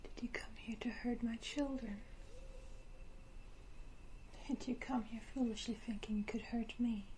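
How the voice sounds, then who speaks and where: Woman as a slow, whispering elegant vampire ruler. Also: on the high side, darkish tone, slight background noise, lightly acted, poor audio. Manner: breathy whisper, teasing, deliberate. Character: centuries-old vampire ruler, elegant, condescending, quietly menacing. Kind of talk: whispered ASMR roleplay.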